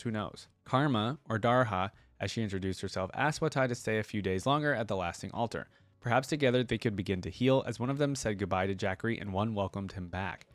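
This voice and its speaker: A man's voice: fairly low pitch, no room sound. A man doing casual reaction commentary and read-aloud of game lore, chatting informally with plenty of filler. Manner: informal, filler-heavy asides. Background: music.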